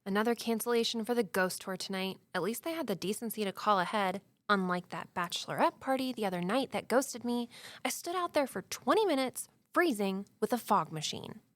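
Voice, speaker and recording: An actress playing a wry, spirited young daughter who guides ghost tours in an audio drama, with a dramatic storytelling flair. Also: female voice, medium pitch, expressive, brisk, fairly bright tone, no room sound, lightly acted. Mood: exasperated, wry.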